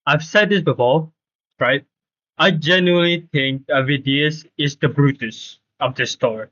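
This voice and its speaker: A man's voice: on the high side, measured pace, bright, forceful tone, no echo, lo-fi sound. A man casually commentating while he plays a video game on a livestream.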